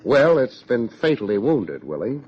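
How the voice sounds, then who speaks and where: An American actor playing a wry, hard-boiled male private detective in the style of 1950s radio drama, wisecracking in a deadpan way. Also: medium pitch, normal speed, husky. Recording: dull lo-fi old radio recording.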